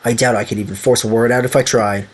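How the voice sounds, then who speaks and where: A young man with an Italian accent, reading a visual novel aloud on stream, stumbling over words and breaking into loud outbursts. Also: mid-range voice, steady tone, fast talker.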